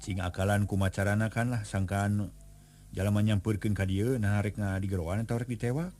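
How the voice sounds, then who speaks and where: A man voicing an alert, sharp-eyed lookout brother who reports what he spots. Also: on the low side, fairly even intonation, a little fast, lightly acted.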